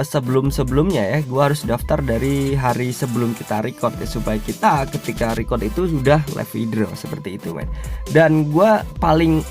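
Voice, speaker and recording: A man, hoarse and unwell, giving a rambling, slangy screen-recorded tutorial and often clearing his throat. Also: mid-range voice, darkish tone. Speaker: YouTuber reviewing crypto sites.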